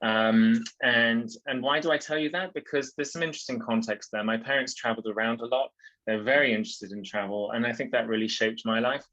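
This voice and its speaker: A man from Switzerland, talking as a guest on a podcast at an ordinary middle pitch. He tells his story in a thoughtful, unhurried way and often pauses to gather his words. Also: fairly bright tone, lo-fi sound. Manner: thoughtful and reflective, with frequent ums and restarts. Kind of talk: casual interview conversation.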